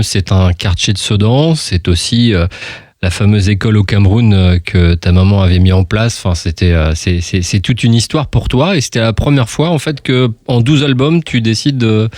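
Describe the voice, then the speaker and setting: A man's voice: fairly low pitch, a little mellow, no room sound, studio quality. A man hosting a casual radio interview, informal and chatty, interjecting short agreements.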